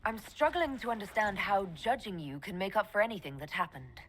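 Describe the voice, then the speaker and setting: A woman's voice: mid-range voice, expressive, brisk, slight background noise, lightly acted. A woman voicing a stern female elf mage. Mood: cool. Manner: cool, curt, decisive. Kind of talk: video game voice acting.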